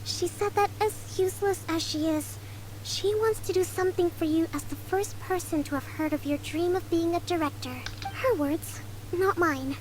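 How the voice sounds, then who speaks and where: A woman voicing a tiny, excitable female fairy companion in a video game, bubbly and chatty. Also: very high-pitched, moderate pace, a little hiss or hum, poor audio. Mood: excited. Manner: bubbly, speaks of herself in third person.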